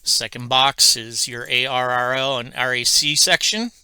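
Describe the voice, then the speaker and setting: Bright, crisp-voiced man giving a tutorial. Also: medium pitch, measured pace, no room sound. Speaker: tutorial instructor. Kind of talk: step-by-step software tutorial, unscripted explanation. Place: indoors at a computer, screen-recording voice-over.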